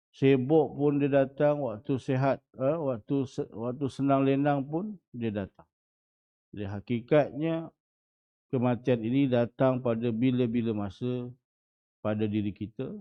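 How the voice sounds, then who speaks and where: A man in his forties with a Malaysian Malay accent, a religious teacher giving a dawn lecture in a small prayer hall, often putting rhetorical questions to the audience. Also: medium pitch. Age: 40s. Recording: muffled lo-fi recording.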